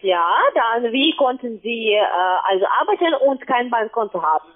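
High, lively woman on phone. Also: moderate pace. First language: not German. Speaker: call centre sales agent. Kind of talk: halting sales pitch. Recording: narrow, tinny phone line.

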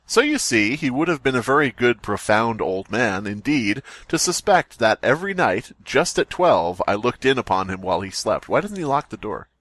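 A man's voice: medium pitch, big pitch swings, moderate pace, hardly any pauses, fairly bright tone, no echo. A man hosting a podcast, reading a story aloud theatrically and breaking off into jokey, sweary banter. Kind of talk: dramatic story reading mixed with joking banter.

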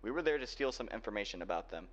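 Low-voiced protective young hacker boyfriend, acted by a man. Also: expressive, fairly bright tone. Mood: guarded, grave. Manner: earnest, halting delivery with sighs. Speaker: ASMR roleplay performer. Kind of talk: ASMR boyfriend roleplay, dramatic monologue. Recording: dull lo-fi home recording, faint noise.

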